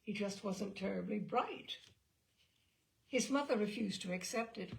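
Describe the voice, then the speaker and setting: A woman giving an expressive, wry dramatic reading of a comic personal essay, with deliberate pauses and emphasis. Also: medium pitch, gravelly, a little hiss or hum. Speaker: reader of humorous essays.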